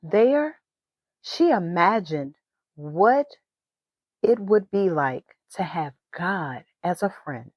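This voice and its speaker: A woman narrating in an animated, expressive children's storyteller style. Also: lowish voice, extremely slow, dry recording, dull audio. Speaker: children's author and podcast host.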